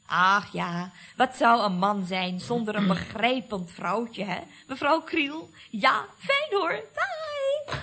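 A woman's voice: on the high side, very animated, takes it slowly, pushed and bright, a little hiss or hum, exaggerated voice. A woman playing a chatty, knowing office secretary in a radio comedy, confiding and mock-soothing. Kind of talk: 1970s Dutch radio comedy acting.

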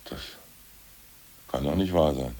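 An actor playing a brooding, ousted aging party official in a radio drama, who recites poems in a slow, solemn drone. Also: male voice, very deep voice, mellow. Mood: casual. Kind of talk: 1980s radio drama acting.

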